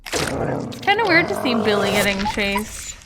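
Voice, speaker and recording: A woman's voice: mid-range voice, very animated, measured pace, heavy background noise. A woman hosting a gaming video, giving casual, unscripted reaction and ranking commentary in an informal, conversational way, thinking aloud.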